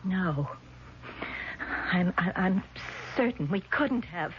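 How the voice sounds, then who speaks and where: A woman's voice: lowish voice, animated, slow. An actress playing an agitated, confused woman in a 1970s radio drama, emphatic and indignant but faltering when doubted. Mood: shaken, confused. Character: agitated, confused woman insisting on her memory. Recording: muffled vintage radio broadcast, faint noise.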